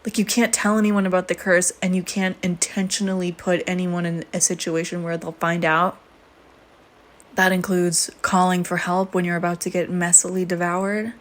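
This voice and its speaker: A woman voicing a flirty, teasing, predatory female vampire in an intimate audio roleplay, with playful banter and breathy murmurs. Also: lowish voice, level tone, moderate pace, close to an everyday voice. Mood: musing, teasing.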